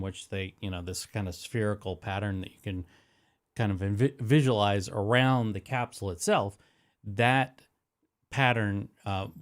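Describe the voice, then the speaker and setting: Nasal man demoing his streaming mic. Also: fairly low pitch. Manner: rambling, self-correcting, with frequent fillers. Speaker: live streaming tech host. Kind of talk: casual gear demonstration. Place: home streaming studio. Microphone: close dynamic broadcast microphone.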